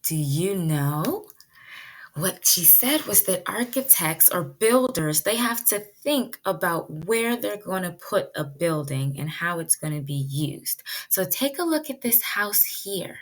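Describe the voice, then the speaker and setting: A woman's voice: on the low side, lively intonation, slow pace. A woman teaching pre-kindergarten children in a read-aloud lesson, explaining things simply and expressively with lots of questions.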